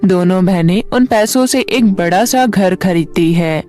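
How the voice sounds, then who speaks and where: A woman's voice: on the low side, unhurried, mellow. A woman reading a scripted fairy tale for children as a storyteller. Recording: music bed under the voice. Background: background music.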